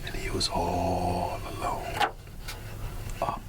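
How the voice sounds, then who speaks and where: A man's voice: deep voice, completely flat delivery, takes it slowly. A man telling an ASMR bedtime story in a soothing, partly whispered voice, with chatty asides to listeners. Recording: clear background ambient noise.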